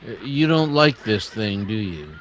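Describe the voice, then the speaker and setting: A man's voice: lowish voice, lively intonation, normal speed, dull audio, a little hiss or hum, close to an everyday voice. A man playing an earnest, principled older townsman in a radio western. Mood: earnest concern. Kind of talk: old-time radio western acting.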